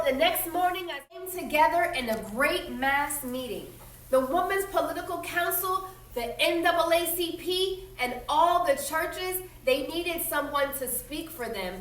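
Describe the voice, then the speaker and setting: High-voiced woman reading aloud, echoey room. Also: pushed and bright. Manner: emphatic, instructive, checks understanding with questions. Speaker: teacher reading aloud to young pupils. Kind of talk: expressive storybook read-aloud with explanatory asides and questions. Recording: echoey room, distant mic, faint noise.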